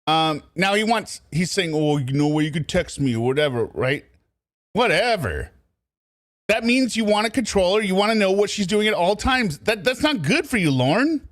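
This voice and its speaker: A man hosting casual true crime commentary, animated and riffing, laughing as he goes. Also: sits high, normal speed, dry recording, studio quality. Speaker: commentary host.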